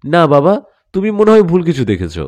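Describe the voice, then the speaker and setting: A man's voice: on the high side, huge pitch swings, moderate pace, a little mellow, no room sound, pitched above the performer's own voice. Man acting an earnest, pleading young daughter. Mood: anxious pleading. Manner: pleading, protesting. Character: earnest young village daughter in love with a jinn.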